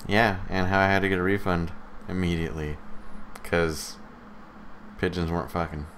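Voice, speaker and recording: A man with a deep, low voice co-hosts a casual podcast. He states opinions bluntly and with emphasis, over faint background noise. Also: level tone, moderate pace, fairly bright tone. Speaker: podcast co-host. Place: live multistreamed podcast.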